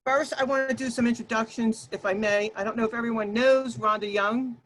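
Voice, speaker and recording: A woman with a loud, projecting voice leads an online workshop, addressing her listeners directly. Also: on the high side, fairly even intonation, brisk, low-quality recording. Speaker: workshop leader and Toastmasters speaker. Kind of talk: workshop presentation to an online group. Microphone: video call.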